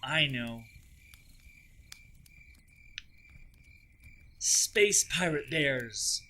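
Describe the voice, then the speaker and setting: A man's voice: mid-range voice, expressive, extremely slow, sharp tone, exaggerated voice. A man voicing an imaginative, stubborn young girl who loves telling stories, in a dramatized children's read-aloud. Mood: musing.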